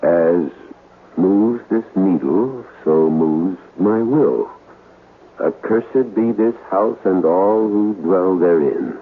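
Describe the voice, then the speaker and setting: An actor playing a grave, insistent ghost hunter in a radio drama, deliberate and solemn in manner, on an old radio recording. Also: male voice, low-pitched, animated. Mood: ominous, solemn. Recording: muffled, faintly noisy old radio recording.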